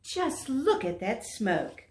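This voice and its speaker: A woman voicing an excitable young boy dragon who shows off, exclaiming boastfully in a children's storybook read-aloud. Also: on the high side, takes it slowly, echoey room, voice pushed up. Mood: amazed, excited.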